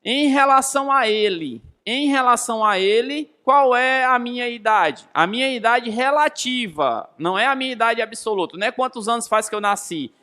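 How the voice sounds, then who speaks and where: A Brazilian man in his thirties explains like a classroom physics teacher. His voice is high and forceful. He stresses key phrases by repeating them and puts questions to his listeners as he goes. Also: moderate pace, few pauses. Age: 30s. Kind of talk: explanatory lesson with rhetorical questions.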